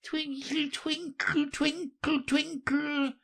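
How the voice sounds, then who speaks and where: A reader voicing a sleepy, feeble little male dormouse from a children's storybook, with a hoarse, drowsy, faltering voice. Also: high voice, sing-song, slow, pitched above the performer's own voice, full character voice. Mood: sleepy singing.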